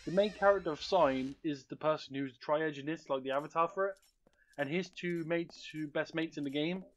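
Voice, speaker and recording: A man's voice: fairly high pitch, normal speed. A young man giving casual, informal, sweary commentary over video gameplay. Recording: game music behind the voice.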